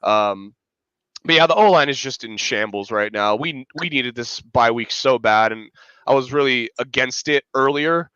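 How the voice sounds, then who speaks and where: A man's voice: mid-range voice, normal speed, bright, forceful tone, smooth, no room sound, dull audio. A man with a stuffy, congested voice from a cold, rambling in casual sports podcast chat with lots of fillers. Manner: rambling, filler-heavy, restarts often. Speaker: podcast co-host, fan analyst.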